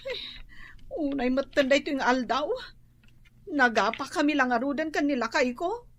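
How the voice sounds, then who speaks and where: An actress playing a vain, dramatic young office worker in a radio comedy drama. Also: female voice, high-pitched, moderate pace, a bit bright. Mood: whiny. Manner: whiny, dramatic.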